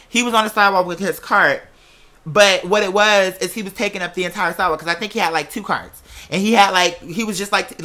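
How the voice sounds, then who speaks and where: Bright, sassy, slangy storyteller. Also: medium pitch. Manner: sassy, slangy, emphatic, profane. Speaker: vlogger telling personal stories. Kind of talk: casual rambling storytelling to camera or mic.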